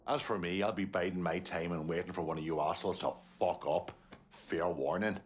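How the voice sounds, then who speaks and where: An actor playing a surly, sardonic gangster in a video game, with a menacing manner. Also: male voice, low-pitched, fairly even intonation, brisk, husky. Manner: sardonic, foul-mouthed, menacing. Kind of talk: video game cutscene acting.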